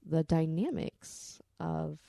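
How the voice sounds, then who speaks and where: A woman's voice: low voice, slow pace, vocal fry, dark tone, no echo, clean studio sound. A woman podcast storyteller telling personal stories in a candid, rambling, salty-tongued way.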